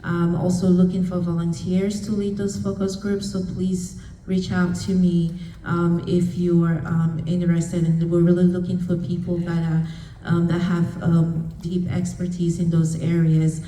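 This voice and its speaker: Flat, mellow woman announcing events. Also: fairly low pitch. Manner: measured, many fillers. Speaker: event organizer. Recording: echoey room, distant mic, faint noise.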